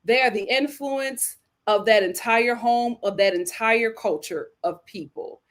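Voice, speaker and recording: A woman hosting a casual livestream, chatting and giving opinions in a theatrical, playful, emphatic manner with drawn-out words. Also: fairly high pitch. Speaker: livestream host reacting to viewer messages. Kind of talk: casual live chat and opinion commentary.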